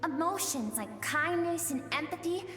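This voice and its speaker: A woman voicing the gentle, earnest younger inner self of a stern warrior in a video game, speaking softly and pleadingly. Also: very high voice, lively intonation, normal speed. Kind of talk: English game voice acting, dramatic dialogue. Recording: echoey long reverb, loud music behind.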